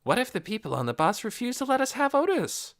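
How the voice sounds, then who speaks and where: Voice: mid-range voice, big pitch swings, fast, pitched above the performer's own voice. A narrator voicing a cautious, practical grown woman in an audiobook, skeptical and wry. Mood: questioning.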